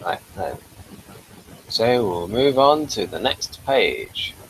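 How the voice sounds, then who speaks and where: Lively-pitched man hosting a casual review. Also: takes it slowly, gravelly. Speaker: review show host. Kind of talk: casual, unscripted review chat. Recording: noisy room ambience.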